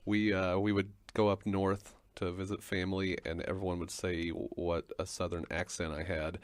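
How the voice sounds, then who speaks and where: A man from Arkansas talking in a halting way on a casual panel podcast, stumbling over words. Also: low-pitched, flat delivery, dry recording. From Arkansas, USA. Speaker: guest.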